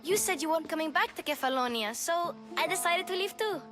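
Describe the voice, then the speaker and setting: Earnest young runaway girl, high voice, chirpy, game acting, music behind. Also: animated, fairly quick, bright, forceful tone, exaggerated voice. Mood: eager, plucky.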